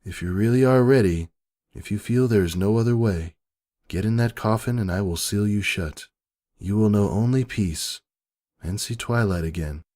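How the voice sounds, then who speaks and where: A man voicing a calm female pony doppelganger, solemn and gently probing, in a dramatic story reading. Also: lowish voice, natural voice. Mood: gentle, questioning. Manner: measured, solemn. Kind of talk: dramatic fan fiction reading. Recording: background music.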